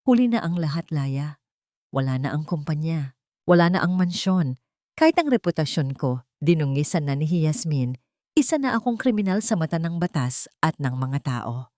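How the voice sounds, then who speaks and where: A woman's voice: low voice, very animated, moderate pace, warm tone, dry recording, studio quality. A woman voicing a despairing, hunted heir who has lost everything, downcast and worried, in an audio story. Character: despairing, hunted disgraced heir caring for ailing mother.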